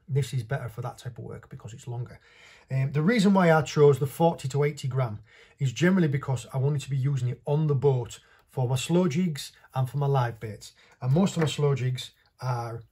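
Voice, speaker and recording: A middle-aged man with a slightly husky voice, giving an informal, off-the-cuff product review to camera in a small indoor room. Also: mid-range voice, warm tone. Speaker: fishing vlogger reviewing tackle. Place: small indoor workshop with stone wall.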